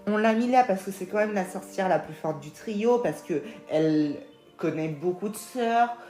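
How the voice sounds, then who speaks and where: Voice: mid-range voice, animated, normal speed, mellow, faint music behind. Matter-of-fact co-host, echoey room. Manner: matter-of-fact, reads out rankings. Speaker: co-host of a ranking video. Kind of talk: casual scripted chat.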